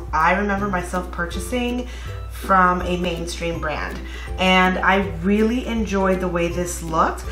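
A woman's voice: medium pitch, a bit bright. Bubbly vlogger woman in her 30s, chatty, echoey home room, faint music behind. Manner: bubbly, gushing, many fillers. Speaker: nail polish YouTuber. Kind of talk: casual vlog chat. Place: bedroom at home.

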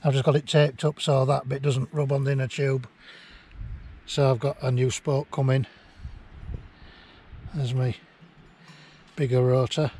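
A man's voice: mid-range voice, level tone, unhurried, pauses often, darkish tone. Chatty middle-aged man outdoors, windy. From England. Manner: chatty, rambling, chuckles often. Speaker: vlogger. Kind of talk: casual vlog narration while riding. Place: outdoors on windy cliff tops. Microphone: action camera on handlebars and held near face. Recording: wind and outdoor noise.

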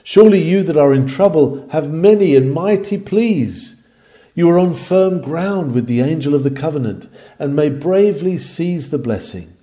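A man's voice: a little high, lively intonation, moderate pace, mellow. A man reading an old sermon aloud in an earnest, declamatory manner with formal, old-fashioned phrasing. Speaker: reader of a historical sermon.

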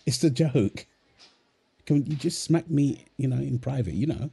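A man playing a playful, doting young husband and father in a soft, tender ASMR roleplay, teasing as he talks. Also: medium pitch, animated, measured pace, creaky, breathy edge, exaggerated voice. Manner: teasing, tender, chuckles often while talking. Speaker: audio roleplay performer. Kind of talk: ASMR boyfriend roleplay, one-sided dialogue.

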